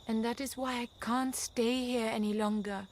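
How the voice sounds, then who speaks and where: A woman's voice: fairly high pitch, steady tone, normal speed, a little hiss or hum, lightly acted. An actress playing an earnest young wife and mother who is resolved to break free of her marriage in a radio drama. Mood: calm, resolute.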